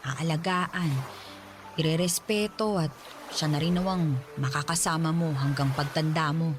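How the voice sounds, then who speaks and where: A woman with a Filipino accent playing a protective, teasing, expressive widowed mother in a Tagalog radio drama. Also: low-pitched, a little slow, music in the background. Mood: tender, reassuring. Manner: expressive, motherly, half-joking menace.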